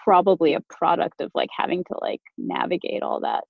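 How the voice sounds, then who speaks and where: A woman with a lowish voice speaks as a guest on a casual podcast, chatty and full of "like" fillers. Her audio is dull and lo-fi, with a dry, close sound. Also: fairly quick, gravelly, no echo. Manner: chatty, many "like" fillers, laughs easily. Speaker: company CEO, podcast guest. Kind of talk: casual conversational interview.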